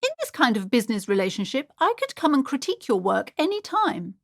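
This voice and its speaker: A woman's voice: sits high, sing-song, a little fast, a bit bright, dry recording, studio quality, heavily acted. An actress playing an anxious, superstitious, preachy woman bookshop owner in a video game, speaking in a theatrical, fretful way. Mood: prim, preachy. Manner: theatrical, fretful, swinging between gushing and alarm. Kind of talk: dramatic video game character dialogue.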